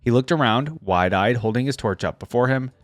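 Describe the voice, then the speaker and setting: A man's voice: mid-range voice, expressive, brisk, no room sound, faint music behind. A man hosting a reaction video, moving between reading stories aloud and informal, conversational commentary. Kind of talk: casual reaction commentary mixed with story read-aloud.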